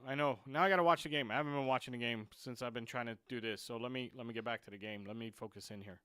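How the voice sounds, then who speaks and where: A man live-streaming a football watchalong, switching between muttering while he fixes his stream clock and excited, frustrated rants about the players. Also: mid-range voice, rapid-fire, clear, resonant tone, no room sound. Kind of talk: live stream match commentary.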